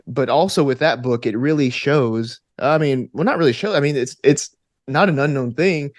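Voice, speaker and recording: A man talking casually as a podcast guest, animated, often restarting his sentences and chuckling as he goes. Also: mid-range voice, moderate pace, no echo, studio quality. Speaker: podcast guest, gamer and game master. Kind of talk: casual conversational interview.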